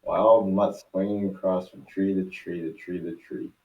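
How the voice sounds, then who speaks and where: Low-voiced man narrating, roomy and distant. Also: flat delivery, normal speed, muffled recording. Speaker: narrator of a fan table read. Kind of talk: amateur script narration. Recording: roomy, distant mic with faint noise.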